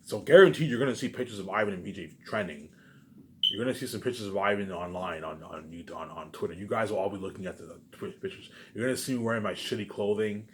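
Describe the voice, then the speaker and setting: A man giving a rambling, unscripted, repetitive monologue to viewers, emphatic, with loud bursts that drop into muttered asides. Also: on the low side, fast, noisy background. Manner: repetitive, circling back to same phrases. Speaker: online video maker.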